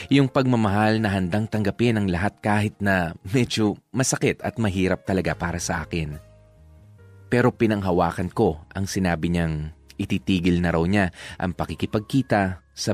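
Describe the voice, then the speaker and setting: A man's voice: deep voice, close to an everyday voice. An actor playing an earnest young man narrating his own love story in a radio drama, reflective, with a Filipino accent. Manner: sincere, reflective. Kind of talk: first-person radio drama letter narration.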